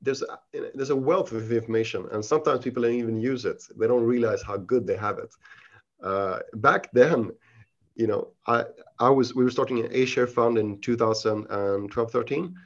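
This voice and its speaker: A man with a Swedish accent, a podcast guest who speaks in halting, casual answers full of restarts and fillers. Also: medium pitch. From Sweden. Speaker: podcast guest, investment researcher. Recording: dull lo-fi audio.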